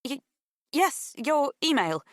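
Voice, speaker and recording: A nervous teenage girl, acted by a woman narrating an audiobook, courteous and hesitant. Her voice sits higher than the narration, close and dry, with small stammers as she picks her words. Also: lively intonation, normal speed, a bit bright, no echo, natural voice. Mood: polite, stalling. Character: nervous, polite teenage girl amateur investigator. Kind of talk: audiobook character dialogue.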